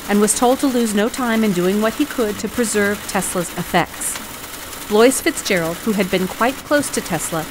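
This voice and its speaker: An actress playing a determined, eloquent female lawyer in a courtroom audio drama, with a formal, theatrical and deliberate delivery. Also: medium pitch, background noise, natural voice. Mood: formal, reading aloud. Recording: music behind the voice.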